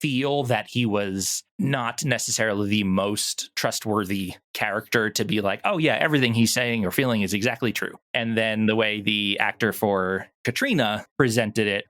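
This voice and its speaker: Male interview guest, low pitch, thoughtful and explanatory, conversational delivery. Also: normal speed, few pauses, a bit bright. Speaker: audio drama producer, interview guest.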